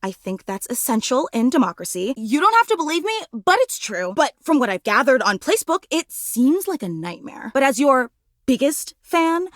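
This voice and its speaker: A woman voicing an adoring, anxious superfan in a video game, effusive, dramatic and quick to put herself down. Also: high voice, big pitch swings, no room sound, studio quality. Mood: earnest, defensive. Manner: effusive, dramatic, self-deprecating. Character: gushing, anxious, adoring superfan, an animate household object. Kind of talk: video game character voice acting.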